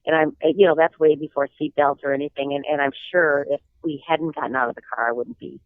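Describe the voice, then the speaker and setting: A woman in her sixties with a slight cold-hoarseness, telling personal stories in an earnest, reflective way as an interview guest. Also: deep voice, steady tone, brisk. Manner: earnest, reflective, with some hesitant fillers. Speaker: guest author. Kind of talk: interview storytelling. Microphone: telephone. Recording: tinny phone line.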